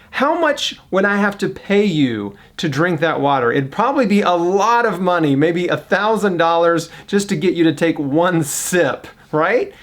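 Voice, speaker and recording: Earnest man with a slightly high voice. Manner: earnest, conversational, rhetorical questions to viewers. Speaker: fundraiser and presenter. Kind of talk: casual talk to camera for a charity appeal.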